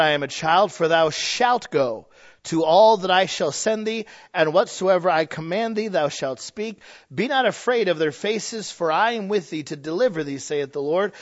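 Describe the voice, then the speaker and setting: A man preaching a sermon in a folksy, humorous way, with emphatic rises and drops. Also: high-pitched. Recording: slightly dull lo-fi sound.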